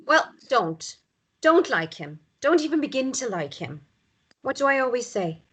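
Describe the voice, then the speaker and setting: A high, bright and very expressive voice for a stern, guarded mother, acted by a woman. She is brisk and curt with her boys, in the style of a drama table read. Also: husky, full character voice. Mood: firm, strict.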